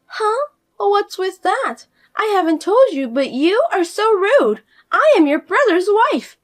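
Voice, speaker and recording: A woman voicing a spoiled, arrogant sister-in-law who talks down to everyone in a shrill, scolding way. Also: sing-song, a little slow, full character voice. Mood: indignant. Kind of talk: audio story voice acting.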